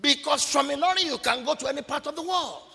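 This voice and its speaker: A man preaching a sermon, emphatic and repetitive, at times breaking into shouts. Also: very high-pitched, fast talker, vocal fry. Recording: long reverb of a large hall.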